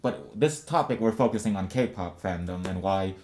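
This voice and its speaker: A low-voiced man leads a relaxed podcast chat. He talks in loose, rambling runs and keeps restarting his sentences as he goes. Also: moderate pace. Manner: rambling, many restarts and fillers. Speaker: podcast co-host. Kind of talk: casual podcast discussion.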